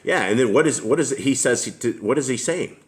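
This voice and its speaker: A middle-aged man with a deep voice, leading a home Bible study, reading scripture aloud slowly and asking questions. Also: lively intonation.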